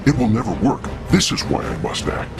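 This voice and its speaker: An actor playing a proud, stubborn godlike cosmic being in a video game, grave, formal and imperious, with a gravelly voice. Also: male voice, medium pitch, expressive, brisk. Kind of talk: video game cutscene acting. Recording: voice over dramatic music.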